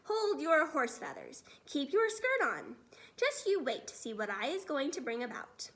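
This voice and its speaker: Storybook role: kindly, excitable ancient giant played by a woman, muddled grammar, whimsical words, high and swooping pitch. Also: moderate pace. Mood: excited.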